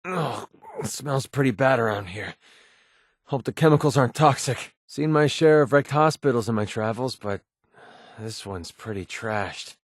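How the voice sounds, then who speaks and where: A man's voice: medium pitch, no echo, clean studio sound, lightly acted. A man playing a cynical, wisecracking young mercenary sniper in a video game, speaking in an offhand, grumbling, sardonic way. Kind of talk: video game companion dialogue.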